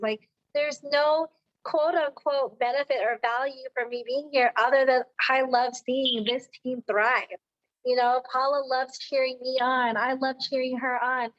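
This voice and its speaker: High squeaky woman losing her voice, dull audio. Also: moderate pace. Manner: excited, peppy, cheerleading. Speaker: guest speaker on a team call. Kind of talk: informal motivational talk. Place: online team call.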